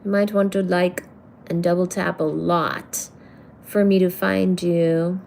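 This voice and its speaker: A woman's voice: fairly low pitch, little pitch movement, takes it slowly, creaky, darkish tone, a little hiss or hum. A woman hosting a livestream, chatting casually and teasingly as she reads out viewer comments. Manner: playful and teasing, calls viewers darling. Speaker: livestream host.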